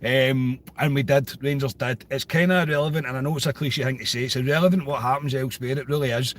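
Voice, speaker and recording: A Scottish man hosting a casual football podcast, his voice a bit croaky, chatty and repeating phrases for emphasis. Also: mid-range voice, steady tone, quick pace. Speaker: podcast host.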